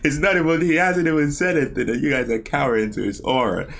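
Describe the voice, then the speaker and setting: A man's voice: fairly high pitch, steady tone, fast talker, gravelly, a bit bright. A man hosting a reaction video, thinking aloud with curious questions and quips. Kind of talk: reaction commentary.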